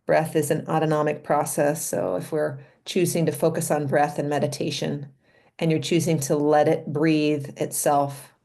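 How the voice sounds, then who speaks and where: Low-pitched, mellow woman guiding a meditation. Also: level tone, gravelly. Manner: gentle, unhurried, pausing between short phrases. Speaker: meditation leader and physician. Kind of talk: guided meditation.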